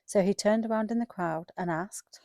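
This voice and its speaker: A woman's voice: medium pitch, moderate pace, dark tone, no room sound, studio quality. A woman giving a scripted narration of a dramatized Bible reading.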